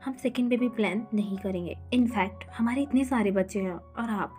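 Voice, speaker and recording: A woman's voice: on the high side, normal speed, darkish tone, soft background music, lightly acted. A woman voicing a hot-tempered young husband and mother who scolds in a raised voice. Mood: chiding.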